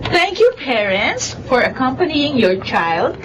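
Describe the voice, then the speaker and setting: A woman's voice: high-pitched, big pitch swings, slow pace, background noise, heavily acted. A woman with a Filipino accent playing an orderly schoolteacher addressing children and parents in a radio drama. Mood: cheerful, instructive.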